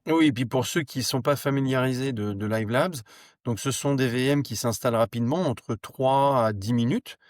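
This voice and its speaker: A man talks as a guest on a tech podcast. His pitch is average and the sound is very dry. He explains things in a casual, conversational way. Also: moderate pace, no room sound. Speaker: podcast guest, product manager.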